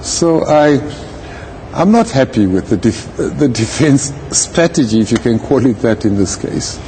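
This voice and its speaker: Guy giving legal commentary, deliberate and formal, mellow voice, some noise behind him. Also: mid-range voice, very animated, rough recording. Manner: measured, deliberate, formal wording. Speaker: legal commentator, retired judge. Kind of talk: live interview commentary on a court case.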